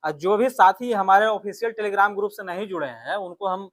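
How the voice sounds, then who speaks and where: A man's voice: high-pitched, moderate pace. A man with an Indian accent giving an instructional exam lesson as a teacher, repeating key phrases and checking in with short tags. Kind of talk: physics exam lesson, working through questions.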